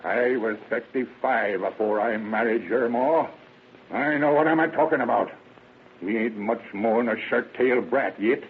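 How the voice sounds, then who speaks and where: A man's voice: mid-range voice, measured pace, a little hiss or hum, natural voice. An actor playing a stern, pious, stubborn old rural father with folksy rustic speech in a radio drama. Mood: stubborn, angry. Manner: gruff, folksy rustic talk. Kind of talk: 1930s radio acting. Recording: muffled phone-like vintage radio recording.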